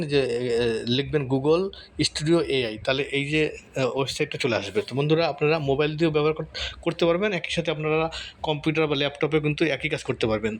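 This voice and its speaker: A man hosting an informal step-by-step tutorial, explaining things in a repetitive way with frequent tag words. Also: on the high side. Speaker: tutorial host. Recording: clearly audible background noise.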